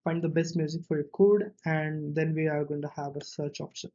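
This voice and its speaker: Muffled, monotone man presenting a coding tutorial. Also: on the high side. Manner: halting, step-by-step narration with check-in tags. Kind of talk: casual screencast tutorial, thinking aloud. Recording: dull, muffled lo-fi audio.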